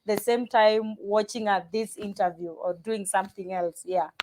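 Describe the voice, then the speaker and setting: A woman's voice: mid-range voice, fairly even intonation, normal speed, noisy background. A woman from Kenya, a pastor talking casually in an interview, often restarting sentences and repeating words. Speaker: pastor and guest.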